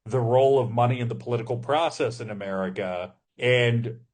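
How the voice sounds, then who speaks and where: Thoughtful male podcast interviewer, mid-pitched. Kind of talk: conversational interview questioning.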